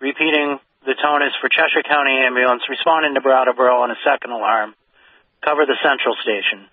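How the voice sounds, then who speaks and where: A male fire dispatcher makes formal radio announcements in a measured way, repeating himself. His voice sits at an ordinary pitch, and the sound is narrow and dry, heard through a radio. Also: fairly even intonation, slightly rough, dry recording. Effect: two-way radio. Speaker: fire mutual aid dispatcher. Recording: dull, band-limited radio dispatch audio.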